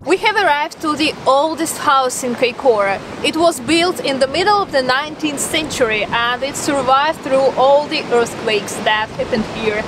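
A young woman chatting excitedly to a handheld camera as a travel vlogger, with lots of "like"s and restarts. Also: high-pitched, normal speed, few pauses, over music, poor audio. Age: young adult. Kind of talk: casual travel vlog talk to camera. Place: outdoors on a farm and inside a small historic house.

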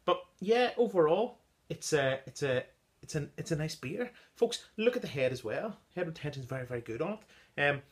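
A man in his thirties talks through a beer he brewed at home, in a relaxed, halting way with frequent ums. He is recorded indoors in a small room on a camera close in front of him. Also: a little high. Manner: chatty, halting with many ums, tasting notes. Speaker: home-brew beer reviewer. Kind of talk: casual beer review vlog. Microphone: device camera at close range.

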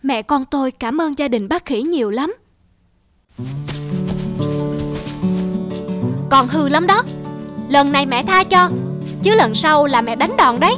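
A woman's voice: high-pitched, lively intonation, normal speed, over music. A woman voicing a stern but caring mother leopard in a children's cartoon, with a firm, instructive manner. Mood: scolding.

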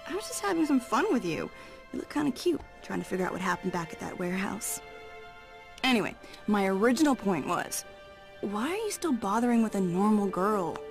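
A woman playing a sly, flirtatious thief in a game cutscene, teasing playfully in a creaky voice. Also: fairly high pitch. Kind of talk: video game cutscene acting.

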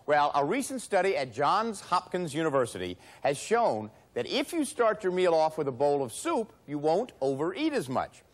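A middle-aged man hosting a studio game show, talking in a brisk, cheerful, emphatic way. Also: fairly high pitch, very animated. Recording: broadcast with loud music and studio audience.

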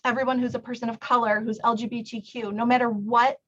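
A woman with a highish voice answers informally at a public forum. She sounds earnest and repeats phrases for emphasis. The recording is a little dull. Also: flat delivery, quick pace, a bit bright. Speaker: city council candidate.

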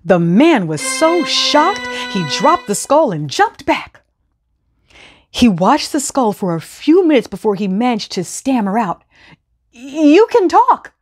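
Middle aged lady doing kids storytime, very expressive, voice goes up and down a lot. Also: fairly high pitch, a little slow, husky, faint music behind. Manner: theatrical, animated. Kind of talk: expressive children's read-aloud narration. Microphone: lapel mic.

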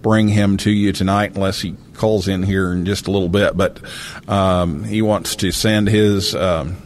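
A man hosting a live radio talk show from a hotel, talking in a halting way with many fillers and restarts. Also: low-pitched, steady tone, slight background noise. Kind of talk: live call-in show banter. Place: hotel room during a convention.

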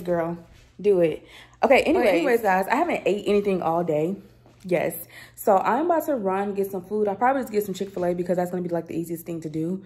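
A young woman, chatting casually to her vlog viewers at home, filmed on a handheld phone. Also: medium pitch. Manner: chatty, addresses viewers as y'all. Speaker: vlogger. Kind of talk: casual vlog chat to camera. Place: apartment kitchen and living room indoors. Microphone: phone camera held at arm's length.

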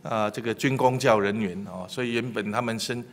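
A middle-aged man with a Taiwanese Mandarin accent preaching a sermon at a church pulpit, pausing often with filler sounds between phrases. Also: lowish voice. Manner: many fillers, animated when telling jokes. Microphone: lectern microphone.

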